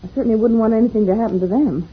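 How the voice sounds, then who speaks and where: An actress playing a caring, earnest guardian of children in a vintage science-fiction radio serial. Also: female voice, a little high, very fast. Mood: worried. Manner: earnest, concerned. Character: caring woman guardian of children on space adventure. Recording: tinny old radio, clear hiss.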